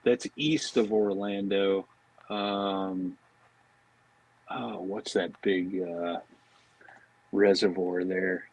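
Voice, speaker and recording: A man chatting casually as a podcast guest, talking in a loose, halting way. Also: low voice, steady tone, darkish tone, poor audio. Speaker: podcast guest, fishing brand marketing director. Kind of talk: casual chat.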